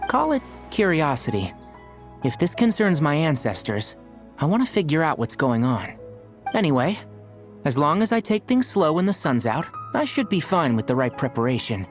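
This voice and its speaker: A composed young healer from a game who mixes kindness with dry teasing. His pitch rises and falls expressively. Soft music runs underneath. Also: normal speed. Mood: calm. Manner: calm, lightly teasing. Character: gentle, dry-witted young male healer. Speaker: doctor.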